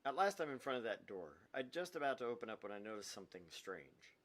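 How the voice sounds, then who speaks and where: A man's voice: mid-range voice, very animated, quick pace, a bit bright. Man voicing an anguished, vindictive visual novel protagonist, swinging wildly. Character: anguished, vindictive, obsessive young man narrating inner monologue. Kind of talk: dramatic visual novel read-aloud.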